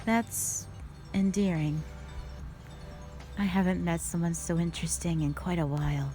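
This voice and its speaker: A woman playing a stern, calm, duty-bound dragon guardian, speaking formally and solemnly in a scripted roleplay audio drama. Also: fairly low pitch, soft background music, close to an everyday voice. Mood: intrigued, softened. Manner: measured, solemn, formal phrasing.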